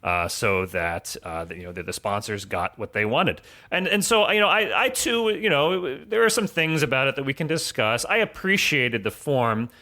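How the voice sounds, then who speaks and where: A man's voice: medium pitch, normal speed, few pauses, sharp tone. A man speaking as a podcast guest, giving conversational commentary in an explanatory way.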